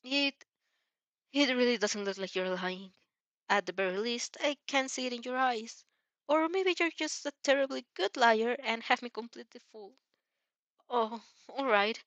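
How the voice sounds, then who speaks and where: A woman's voice: a little high, moderate pace, a bit bright, dry recording, highs cut off, pitched above the performer's own voice, lightly acted. A woman voicing a kind, worried mother who is hesitant but caring. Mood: relenting, gentle.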